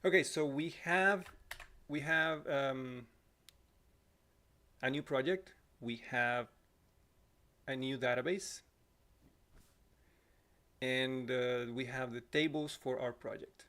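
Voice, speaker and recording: A man in his thirties from Costa Rica with a Spanish accent, walking through live code at home on a boom mic, apologetic and self-correcting, his throat a little scratchy. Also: slow, long pauses, pushed and bright. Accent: Costa Rican Spanish. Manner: apologetic, talks while typing, self-correcting. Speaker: livestream coding instructor. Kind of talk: live coding walkthrough. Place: small room at home. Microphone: boom mic on arm.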